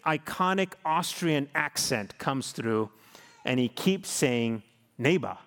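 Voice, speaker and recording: A man in his thirties, a pastor giving a sermon and closing prayer, telling a family story in an earnest, pastoral way. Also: fairly high pitch. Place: large church sanctuary. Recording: long hall reverb.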